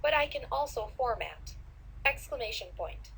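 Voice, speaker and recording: A woman's voice: on the high side, very animated, moderate pace, creaky, a bit bright. A woman dictating text with spoken punctuation, heard on a noisy played-back dictation recording.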